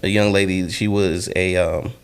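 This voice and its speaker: Male podcast host, low voice, loose chatty delivery with lively outbursts. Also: moderate pace, smooth. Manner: animated storyteller, many fillers, bursts into loud acted quotes. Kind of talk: casual podcast interview.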